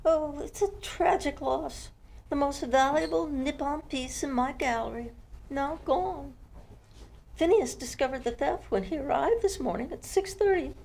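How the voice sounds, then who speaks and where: A woman's voice: high voice, measured pace, creaky, a little mellow, rough recording. A woman acting a flustered, aloof gallery owner in an old-time radio mystery. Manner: fluttery, confused, haughty. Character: flustered, aloof wife who owns an art gallery. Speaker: amateur radio club performer.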